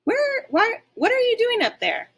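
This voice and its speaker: Woman voicing an excitable, bright-voiced little boy dog. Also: very high-pitched, measured pace, gravelly, pitched above the performer's own voice, exaggerated voice. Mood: alarmed, pleading. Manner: excitable, chatty. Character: small eager young male dog, friendly and boastful.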